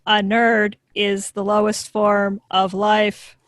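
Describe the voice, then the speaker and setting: A deadpan, childlike robot girl from a sitcom, acted by a woman. The delivery is slow and monotone, obediently literal, as if each phrase were processed before it is spoken. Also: medium pitch, lightly acted. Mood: flatly insulting. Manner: flat, literal, robotic delivery that echoes others' words. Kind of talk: live sitcom table read.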